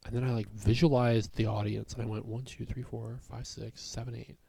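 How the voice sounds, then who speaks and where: A young man, a comedian, talking through a personal vlog in a rambling way with a raspy voice and many fillers. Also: medium pitch, flat delivery, a little fast, warm tone. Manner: rambling, full of fillers and restarts.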